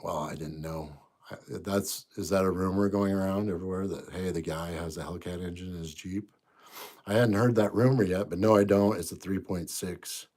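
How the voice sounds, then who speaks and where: Deep-voiced stuffy middle-aged man. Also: steady tone, moderate pace. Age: 50s. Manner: easygoing, rambling, trails off quietly. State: congested, stuffy nose. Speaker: livestream host. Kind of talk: casual livestream chat reading comments.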